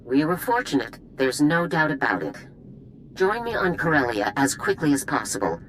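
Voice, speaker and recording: An actress playing a seasoned female army general in a video game, with an authoritative, formal and measured manner. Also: low voice, sing-song, a little hiss or hum, low-quality recording. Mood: commanding, satisfied.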